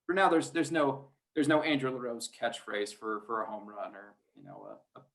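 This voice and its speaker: A man's voice: medium pitch, expressive, fairly quick, fairly bright tone. A man speaking as a guest sports announcer in a casual podcast interview, rambling and hesitant as he thinks aloud.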